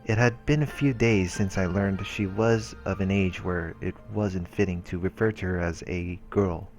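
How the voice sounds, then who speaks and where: Low-voiced man reading a visual novel aloud. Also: fairly even intonation, normal speed, darkish tone. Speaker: let's play host. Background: background game music.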